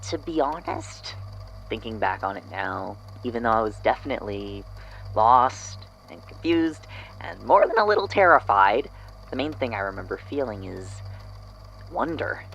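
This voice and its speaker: A man's voice: fairly low pitch, animated, normal speed, gravelly, fairly bright tone, lo-fi sound, a little hiss or hum. A man acting as an unsettled narrator in an audio drama, hesitantly and reflectively recounting an eerie memory. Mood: uneasy wonder. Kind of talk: audio drama statement, hesitant first-person storytelling.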